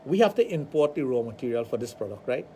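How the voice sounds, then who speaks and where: A man with a Trinidadian accent, hosting a radio talk show and telling animated stories with acted-out dialogue. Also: medium pitch, quick pace, slight background noise. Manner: animated storytelling, acts out dialogue. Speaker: radio show host, sexologist.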